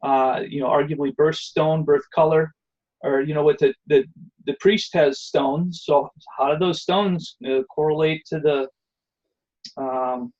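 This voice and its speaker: Man presenting, rambling, lots of fillers, slightly high voice, dull lo-fi audio. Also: steady tone, a little slow, darkish tone. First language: not Hebrew. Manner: rambling, many fillers and restarts. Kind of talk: online presentation with Q&A.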